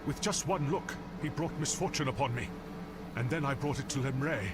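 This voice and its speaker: A man's voice: medium pitch, brisk, over loud music, natural voice. A man playing a cursed, fretful would-be knight. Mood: gloomy. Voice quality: anxious, doom-laden delivery. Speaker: game character. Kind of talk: voiced game dialogue. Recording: game audio with clear background noise.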